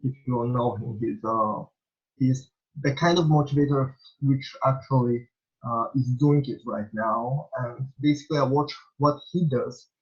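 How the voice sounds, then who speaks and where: A young man from Bulgaria, a native Bulgarian speaker with a Bulgarian accent, answering interview questions in a halting way, full of fillers and restarts. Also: mid-range voice. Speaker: interviewee, small vlogger. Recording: dull lo-fi recording.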